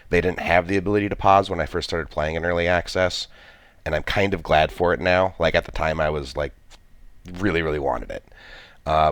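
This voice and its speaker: Super deep guy, kinda stuffy from a cold, rambling thru his game, dry mic. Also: no room sound. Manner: thinking aloud, many restarts and fillers. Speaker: gameplay commentator. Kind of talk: casual game commentary.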